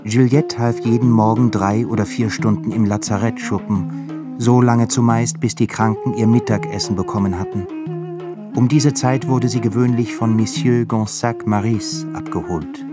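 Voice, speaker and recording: A man performing as a measured, literary narrator in a scripted radio drama. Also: medium pitch, fairly even intonation, natural voice. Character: measured male narrator of an epic novel. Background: prominent music.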